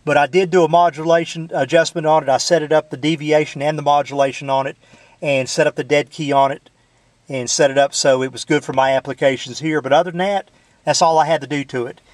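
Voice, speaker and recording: A man's voice: on the high side, steady tone, quick pace, no room sound. A man in his truck casually showing off a CB radio, folksy, with lots of filler words. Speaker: CB radio hobbyist demoing a radio. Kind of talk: casual show-and-tell.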